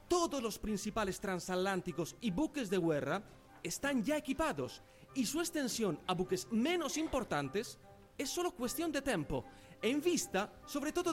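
An Italian inventor delivering a formal address in a radio drama. His voice is high and swings widely, declamatory and confident. His speech has an Italian accent, with Italian words slipping in, over music.

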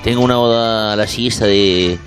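A man's voice: fairly low pitch, steady tone, moderate pace, faint music behind, lightly acted. A man playing an effusive, flowery male poet in a radio comedy sketch, gushing grandly. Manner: gushing, florid.

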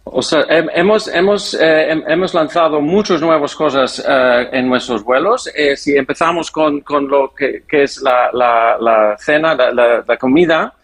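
Foreign guy being interviewed in non-native spanish, bit high voice, halting, keeps hunting for words. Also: a bit bright. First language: not Spanish. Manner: halting, searching for words, repeats words. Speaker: interview guest, airline executive. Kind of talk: interview answers.

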